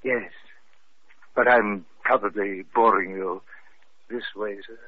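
An actor playing an eerie, devoted gaunt butler who speaks formally and reverently in an old radio mystery. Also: male voice, fairly low pitch, fairly even intonation, slow, background noise. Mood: formal, brooding. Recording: thin, phone-like vintage radio recording.

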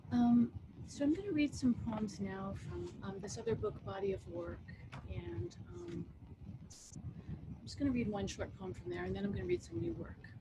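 A middle-aged American woman poet giving a reading of her work, with measured, deliberate phrasing. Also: a little high, gravelly. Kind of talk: poetry reading with casual introductions. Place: book-lined wood-panelled room at home, indoors. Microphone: computer mic on video call. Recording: very noisy video-call audio.

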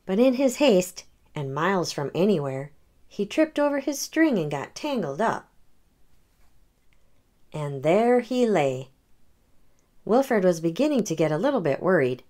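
Slow, expressive woman reading a children's storybook. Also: on the low side. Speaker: host reading a picture book aloud.

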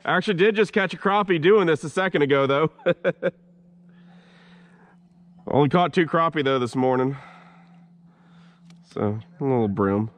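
A man's voice: fairly high pitch, moderate pace, long pauses. A man talking casually through his fishing trip from a kayak on a swampy creek. He records on a head-mounted action camera and swears freely as he goes. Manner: relaxed, chatty, swears freely. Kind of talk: casual narration while fishing.

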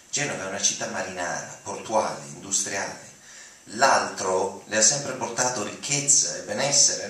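A fairly low male voice rings through a very echoey hall, picked up from some distance with faint noise. The young man reads written prose aloud in a dramatic style. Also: a bit bright. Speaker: dramatic reader. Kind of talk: dramatic reading of essays aloud. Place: auditorium at a lectern. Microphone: lectern mic, recorded from audience.

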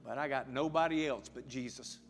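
A middle-aged male pastor preaching and praying fervently before his congregation, building to loud prayer. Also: medium pitch, a little fast. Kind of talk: sermon and prayer. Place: large church sanctuary with congregation. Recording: church hall with music behind.

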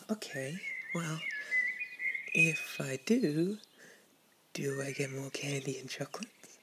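Woman acting naive bunny boy, ASMR roleplay, childlike, excitable, greedy, low for a woman, unhurried, birdsong behind. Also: full character voice. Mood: curious, confused. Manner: dim-witted, childlike, breathy asides with giggles and gasps. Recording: clearly noisy background with birdsong.

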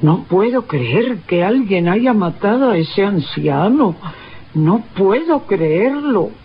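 An actress playing a composed, concerned lady of the house, formal and measured, in an old radio drama. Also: medium pitch, lively intonation. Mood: grave concern. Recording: dull noisy old radio recording.

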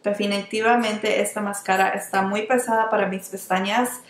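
A woman's voice: medium pitch, fairly even intonation, moderate pace, a little hiss or hum. Young woman chatting in an echoey room. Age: young adult. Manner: chatty, often restarts words and slips into English terms. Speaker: beauty vlogger. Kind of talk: casual product review while applying makeup.

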